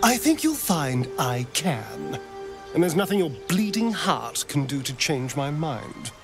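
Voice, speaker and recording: Creaky, drawling, sardonic vampire. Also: male voice, a little high, very animated, measured pace, over music. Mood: sardonic, bitter. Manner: arch, theatrical, drawling. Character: theatrical, sardonic male vampire spawn, a bitter runaway. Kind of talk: dramatic video game acting.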